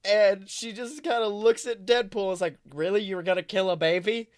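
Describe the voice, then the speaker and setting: A man's voice: sits high, fairly even intonation, fairly quick, no room sound, studio quality. A man co-hosting a podcast, improvising a comic story in a rambling, self-correcting, playfully dramatic way. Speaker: podcast co-host.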